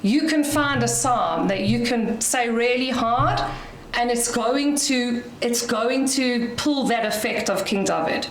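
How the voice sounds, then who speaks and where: A South African woman speaks slowly and loudly in a somewhat high voice, giving an inspirational talk with chatty asides and light laughs. The sound is echoey, as in a hall, with a faint hum behind her. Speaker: guest speaker at a community dinner.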